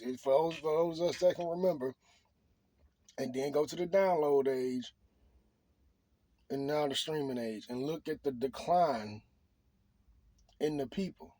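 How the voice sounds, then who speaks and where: A middle-aged man shares his opinions off the cuff in a husky voice that sits a little high. He is emphatic and somewhat preachy, circling back to repeat his points. The sound is dry, with little room around it. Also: warm tone, dry recording. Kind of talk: unscripted opinion monologue.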